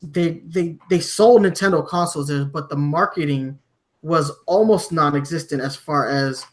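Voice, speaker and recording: A man from Germany hosting a podcast, discussing news conversationally with halting, repeated word starts. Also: a little high. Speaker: podcast host.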